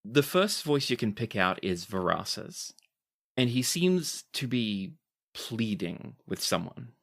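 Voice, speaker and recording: A man running a tabletop game as game master, narrating scenes in an unhurried storytelling manner. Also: lowish voice, big pitch swings, creaky, fairly bright tone. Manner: descriptive, unhurried storytelling with dramatic pleading quotes.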